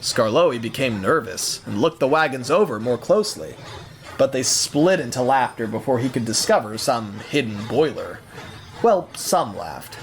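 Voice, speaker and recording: A man narrating a scripted audio story in an expressive storytelling manner. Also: mid-range voice, moderate pace, slight background noise.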